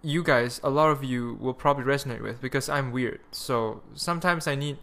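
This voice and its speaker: A man's voice: mid-range voice, brisk, bright, forceful tone. A young man with a Malaysian accent hosting a podcast in a rambling, crude, self-mocking casual chat. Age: young adult. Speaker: podcast host.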